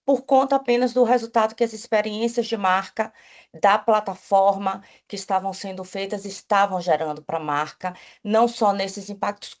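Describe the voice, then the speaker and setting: Woman speaking on a panel. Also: medium pitch. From Bahia, Brazil. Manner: enthusiastic, with frequent restarts and "né?" tags. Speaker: panelist, agency executive. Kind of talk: panel talk.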